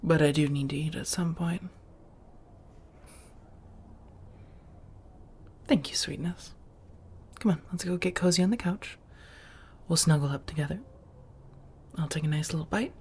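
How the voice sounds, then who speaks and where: A woman playing an affectionate, teasing vampire roommate in a soft-spoken ASMR roleplay, breathy and intimate, often half-whispering. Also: deep voice, normal speed. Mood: coaxing, tender. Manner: intimate, teasing, coaxing with pet names.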